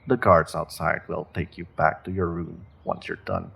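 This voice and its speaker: A man playing a smug, mock-kind evil sorcerer in a fantasy audio roleplay, condescending and quietly threatening to his captive, with a deep, husky, gravelly voice. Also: big pitch swings, moderate pace, slight background noise, exaggerated voice. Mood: smug politeness.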